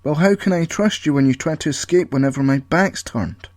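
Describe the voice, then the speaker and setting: A man's voice: on the high side, a little fast, darkish tone, dry recording, voice pushed up, natural voice. Irritable, protective male inventor for an audio story, voiced by the narrator, exasperated and snappish, very dry sound.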